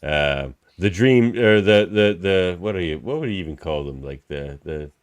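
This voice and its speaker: A man's voice: deep voice, lively intonation, moderate pace, smooth, dry recording. A man chatting casually as a regular on a group podcast.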